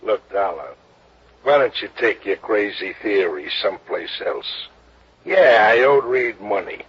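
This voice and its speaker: A sneering tough gambler. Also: male voice, on the low side, animated, unhurried, breathy edge, full character voice. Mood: scornful. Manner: sneering, sarcastic. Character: tough male gambler, scornful and dismissive. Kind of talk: 1950s radio drama acting. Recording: muffled old radio broadcast with faint hiss.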